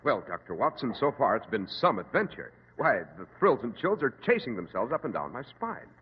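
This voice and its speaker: Lively American old-time radio announcer. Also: male voice, medium pitch, brisk, noisy background. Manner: polished, folksy, salesman-like. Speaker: radio announcer, show host and pitchman. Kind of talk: 1940s radio advertising patter. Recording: tinny, echoey old radio broadcast.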